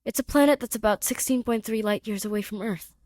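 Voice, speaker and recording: A woman voicing a gentle, earnest male scientist in audio fiction, soothing and slightly rambling as he reassures. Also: a little high, fast talker, no room sound, clean studio sound, voice pushed up, lightly acted. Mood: reassuring. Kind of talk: character dialogue in audio fiction.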